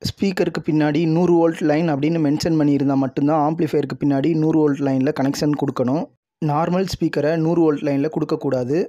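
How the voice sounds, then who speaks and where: A man's voice: a little high, steady tone, fast, dark tone, no echo. A man narrating a scripted tutorial in a methodical, explanatory manner. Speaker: tutorial presenter. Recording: dull lo-fi audio.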